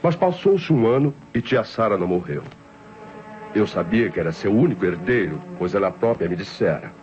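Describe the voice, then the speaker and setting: An actor playing an idle, self-justifying nephew who narrates his confession formally and deliberately, with a Brazilian accent, in an old radio drama. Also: lowish voice, lively intonation, darkish tone, lightly acted. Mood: cold, self-justifying. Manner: formal, deliberate, theatrical diction. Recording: dull old radio recording with music.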